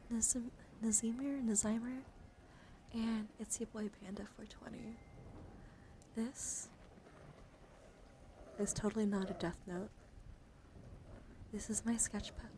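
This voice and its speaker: Softly whispering, slow-paced woman streamer. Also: a little high. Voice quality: soft, mostly whispered. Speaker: live streamer. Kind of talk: ASMR, chatting with live viewers. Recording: clearly audible background noise.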